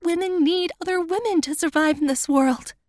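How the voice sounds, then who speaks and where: A woman's voice: extremely high pitch, moderate pace, a little mellow, no room sound, studio quality, pitched above the performer's own voice. A woman voicing Wanda, a tearful and devoted young woman who pleads emotionally with her friend, her voice lifted well above the narrator's own. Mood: tearful pleading.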